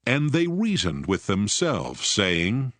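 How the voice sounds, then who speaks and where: A man's voice: lowish voice, wildly swooping pitch, takes it slowly, fairly bright tone, no room sound. A man narrating scripture from a script in a formal, dramatic storytelling manner. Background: prominent background music.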